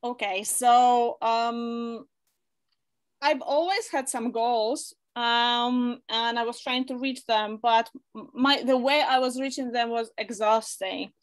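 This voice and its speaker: A woman's voice: fairly high pitch, slow. A young woman from Poland, talking chattily as an interview guest over a video call, often restarting her words. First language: Polish. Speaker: guest, online English school owner. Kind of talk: interview testimonial.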